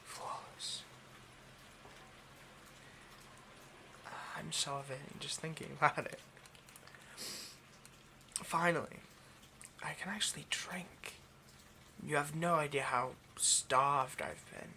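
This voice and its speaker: A man playing an obsessive, hungry vampire in an intimate ASMR roleplay, murmuring haltingly and often slipping toward a whisper. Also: on the high side, fairly even intonation, vocal fry, bright, forceful tone, a little hiss or hum, full character voice. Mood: rapturous, hungry. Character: obsessive, hungry male vampire, possessive and seductive.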